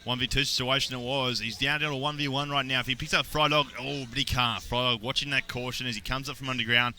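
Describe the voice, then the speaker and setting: A young adult man with an Australian accent doing play-by-play esports commentary, getting excited on big plays. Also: mid-range voice, fast talker. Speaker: esports caster.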